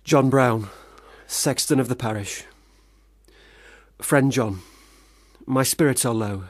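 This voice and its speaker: An actor in a radio play, a man, playing a failed, self-pitying young gentleman writer with formal, wordy diction. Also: medium pitch, takes it slowly, no room sound, studio quality. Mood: pompous, morose. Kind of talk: radio play monologue and dialogue.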